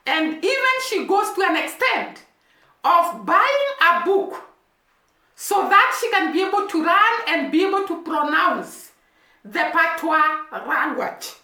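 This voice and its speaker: A woman with a very high, lively voice, speaking slowly and earnestly as she comments on a clip. Her tone is bright, and the recording carries a noticeable room echo. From Kenya. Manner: slow, earnest, explanatory. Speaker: reaction video host.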